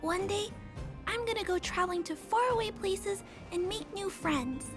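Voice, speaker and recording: Little girl for a game: extremely high, unhurried, innocent and polite, with music behind. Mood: grateful, hopeful. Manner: hesitant, innocent. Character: sweet, earnest little girl, hopeful and polite. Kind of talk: video game voice acting.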